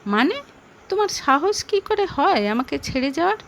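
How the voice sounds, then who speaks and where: A woman's voice: high-pitched, sing-song, normal speed, a bit bright, slight background noise, pitched above the performer's own voice, exaggerated voice. A woman voicing a hot-headed, possessive male lover with a demanding, intense manner in a dramatic audio story. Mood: shocked, angry.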